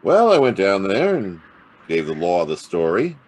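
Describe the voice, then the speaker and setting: Noir private eye, extremely low voice, husky, swinging intonation, sardonic and world-weary, radio drama. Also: male voice, wildly swooping pitch, moderate pace, a little mellow, heavily acted. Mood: probing, wry. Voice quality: gravelly, husky. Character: hard-boiled, wisecracking male private detective. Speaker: actor playing the lead detective and narrator. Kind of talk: noir radio drama acting and first-person narration.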